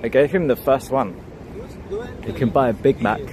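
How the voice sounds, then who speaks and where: A man vlogging as he walks a city street, chatting in a low-key, laid-back way. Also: normal speed. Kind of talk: casual street chat. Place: busy city street outdoors. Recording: loud street noise behind.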